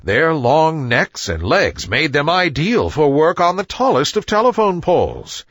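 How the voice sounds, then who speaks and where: A man reads a children's story with a hugely varied, swooping melody. His voice is fairly high and husky, and the sound is dry and close. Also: a little slow, no echo, highs cut off. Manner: expressive storybook delivery. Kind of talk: scripted children's story narration.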